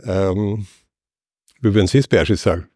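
A man answering street interview questions, pausing often with hesitant fillers as he searches for words. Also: on the low side, dark tone, breathy edge, dry recording, clean studio sound. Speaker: passer-by interviewee.

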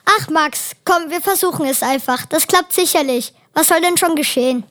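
A child acts out a bold, impatient kid in a children's radio play. The voice is very high and youthful, eager for adventure and urging the others on. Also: moderate pace, a bit bright. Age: child, about ten. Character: impatient, daring, clumsy young sibling eager for adventure.

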